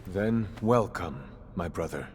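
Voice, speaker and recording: An actor playing a proud, dignified mentor in a video game, speaking formally as a teacher of officers. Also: male voice, deep voice, expressive, slow pace, faint music behind. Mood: solemn. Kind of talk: dramatic game dialogue.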